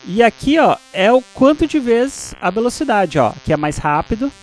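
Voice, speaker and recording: High-pitched, lively Brazilian man teaching. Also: normal speed, a little hiss or hum. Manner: explanatory, often checking understanding with tag questions. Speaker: music software instructor. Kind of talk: informal screen tutorial.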